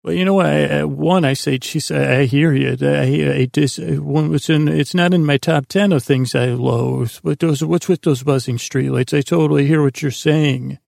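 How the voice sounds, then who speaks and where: A man with a creaky, gravelly voice hosting a sleep podcast in a meandering, halting, self-deprecating monologue full of tangents. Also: on the high side, a little mellow, no room sound, studio quality. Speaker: podcast host.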